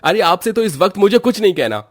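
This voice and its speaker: A man's voice: high-pitched, sing-song, fast, soft background music, voice pushed up. A man voicing a protective young husband in a cartoon story, heated and reproachful. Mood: angry, reproachful. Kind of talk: acted Hindi cartoon moral story.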